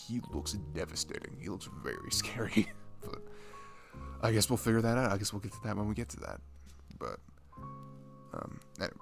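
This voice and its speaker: A man's voice: sits low, gravelly, full character voice. A man playing a shy, dorky, caring best friend in an intimate roleplay ASMR, rambling and hesitant, with small stammers and apologies. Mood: nervous, enthusiastic. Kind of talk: intimate roleplay ASMR, mimicking casual one-sided chat.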